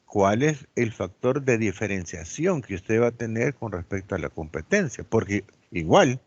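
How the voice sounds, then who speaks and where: A man's voice: mid-range voice, no room sound. An older man in his sixties with a Salvadoran accent, lecturing on economics and telling personal stories. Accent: Salvadoran Spanish. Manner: animated, tells personal stories, asks rhetorical questions. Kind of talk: university lecture with questions. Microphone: online class audio. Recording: dull lo-fi audio.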